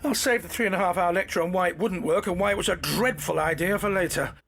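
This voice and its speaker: An actor playing a pompous, indignant, eccentric time traveller in an audio drama, emphatic and scolding. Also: male voice, high-pitched, fast talker, vocal fry, a bit bright, breathy edge, heavily acted.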